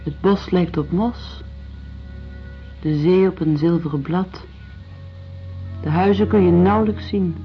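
An actress playing an inquisitive, idealistic companion in a radio drama, speaking in short, probing phrases. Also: female voice, fairly low pitch, measured pace, long pauses. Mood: attentive. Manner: short, probing questions and firm replies. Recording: dull lo-fi radio drama recording. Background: music.

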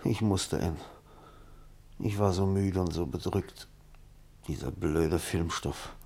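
A sick despairing writer, radio drama, slow n halting, really husky creaky voice. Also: male voice, low-pitched, warm tone, heavily acted. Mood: weary, remorseful. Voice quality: husky, gravelly. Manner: halting, fragmented, slow delivery. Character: weary, despairing alcoholic writer, gravely ill and delirious. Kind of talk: German radio play acting.

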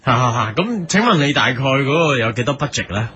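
A man's voice: medium pitch, big pitch swings, fairly quick, bright, forceful tone, pitched below the performer's own voice. A man playing an eager, flustered property agent in a comic radio sketch. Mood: eager, awkward. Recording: clearly noisy recording.

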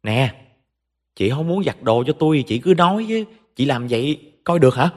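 A spoiled young Southern Vietnamese woman acted out by a male storyteller, pitched high and shrill. She scolds and accuses in furious, indignant bursts, with long reverb around the voice. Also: animated, normal speed, darkish tone, lightly acted.

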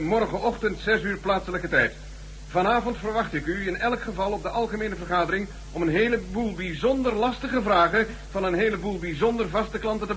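A man's voice: high voice, a bit bright, a little hiss or hum, rough recording. An actor playing a formal male council chairman, speaking in a businesslike way in an old radio play. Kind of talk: 1970s radio drama acting.

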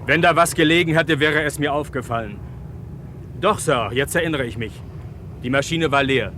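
A man's voice: on the high side, normal speed, pushed and bright, a little hiss or hum. A man playing a plain-spoken, respectful airfield ground worker in a German radio crime drama, speaking emphatically. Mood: emphatic.

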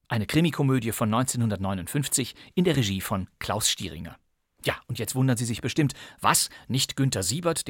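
A man's voice: mid-range voice, dry recording. A male presenter of old radio plays with a mid-range voice. He talks conversationally to his listeners as a host, in a very dry recording.

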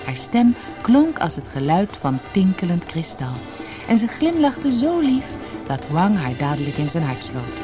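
A storyteller reading a scripted fairy tale in an expressive, dramatic manner. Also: medium pitch, a little slow, music in the background.

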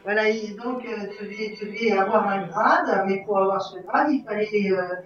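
Woman chatting haltingly in an echoey room. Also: mid-range voice. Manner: halting, searches for words. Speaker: interviewee, club event organiser. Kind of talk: casual conversational interview. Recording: echoey, dull lo-fi room recording.

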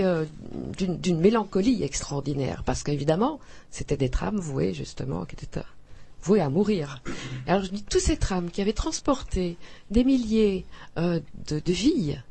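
A woman's voice: fairly low pitch, dark tone, slightly rough. A woman author from Belgium in a radio interview, talking effusively with frequent hesitations, restarts and laughter.

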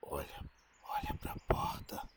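A man's voice: takes it slowly, in a whisper, lightly acted. A man with a Brazilian accent playing a weary, rattled man in a naturalistic horror audio drama. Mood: urgent, frightened.

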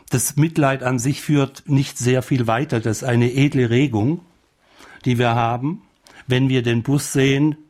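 Male commentator, unpolished and pensive. Raspy middle-register voice, reflective, feeling his way through phrases. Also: medium pitch, darkish tone. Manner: thoughtful, searching for words.

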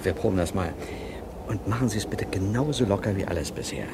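A man's voice: low-pitched, expressive, fast, gravelly, heavy background noise. An actor playing a bossy, impatient male film director giving insistent instructions in a naturalistic radio drama. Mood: coaxing.

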